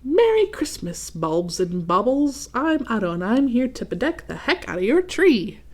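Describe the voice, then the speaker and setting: A woman's voice: a little high, very animated, normal speed, pitched above the performer's own voice. A woman voicing a boastful, jazzy male Christmas ornament from a storybook, with a showy, dismissive manner. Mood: grand greeting.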